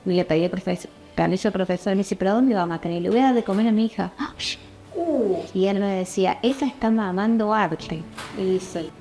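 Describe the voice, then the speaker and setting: A woman's voice: medium pitch, brisk, soft background music. Middle-aged Argentine mother telling anecdotes. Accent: Argentine Spanish. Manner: animated anecdote-telling, acting out quotes. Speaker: painter's mother. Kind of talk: casual family reminiscing.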